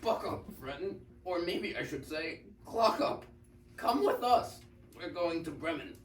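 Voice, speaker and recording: A man's voice: on the high side, expressive, a little slow. A teenage boy playing an old worn-out donkey with musical dreams, joking and punning in a reader's theater performance. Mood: jokey, encouraging. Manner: jokey, punning. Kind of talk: reader's theater script reading. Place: high school classroom. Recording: roomy, distant classroom recording, faint noise.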